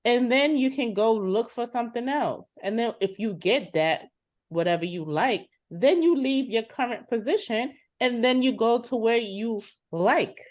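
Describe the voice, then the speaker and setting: A woman's voice: a little high, unhurried, a little mellow, close to an everyday voice. A woman playing a fed-up adult sister in a dramatized therapy session, speaking in an exasperated, insistent, blunt way. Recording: dull lo-fi sound.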